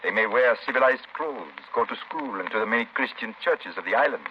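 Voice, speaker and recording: An actor playing a courtly, grave French-speaking plantation host, talking formally in a 1930s radio drama. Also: male voice, on the low side, expressive, a little fast, lightly acted. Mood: grave, ominous. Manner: formal, polite, ominous storytelling. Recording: muffled, hissy 1930s radio recording.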